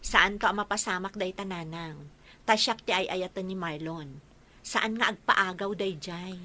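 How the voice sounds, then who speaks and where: An actress playing a bashful, mildly protesting young working daughter in a radio drama. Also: female voice, on the low side, normal speed, sharp tone. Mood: embarrassed. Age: young adult.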